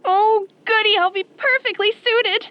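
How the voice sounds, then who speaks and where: A woman playing an eager, girlish, obsessive fan with a menacing streak, gushing questions in an audio roleplay. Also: very high voice. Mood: sweetly menacing. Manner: gushing, rapid-fire questions. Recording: muffled phone-in caller line.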